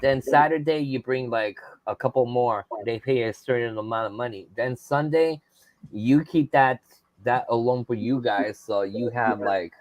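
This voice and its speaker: A man chatting casually in a group, in a halting way, repeating words as he works out his point. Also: mid-range voice, no room sound, poor audio.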